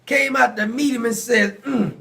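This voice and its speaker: High-pitched preacher man with a creaky voice. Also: moderate pace, bright, forceful tone. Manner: folksy, emphatic storytelling with exclamations. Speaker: preacher in a video being watched. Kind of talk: informal Bible study sermon retelling scripture.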